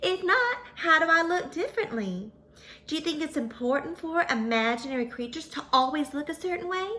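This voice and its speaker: A sweet little storybook pony played by a woman speaks in a high, bright voice. She tells her tale to children in a folksy, earnest and dramatic way. There is faint noise behind her. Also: very animated, brisk, exaggerated voice. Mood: friendly, chatty. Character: sweet, folksy little female pony who became a famous unicorn.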